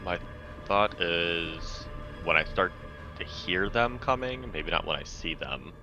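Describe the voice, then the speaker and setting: A man's voice: deep voice, slow pace, music in the background. A man playing a tabletop game, talking in a casual, laid-back, matter-of-fact way. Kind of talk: casual in-character game talk. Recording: dull lo-fi mic, faint noise.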